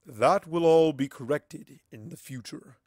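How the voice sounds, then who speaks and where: Man voicing a lofty, forceful otherworldly collective being. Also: on the high side, dry recording, studio quality, pitched above the performer's own voice. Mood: lofty, detached. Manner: formal, measured, condescending. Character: cold, lofty otherworldly collective entity speaking through a child. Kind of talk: dramatic fan fiction reading.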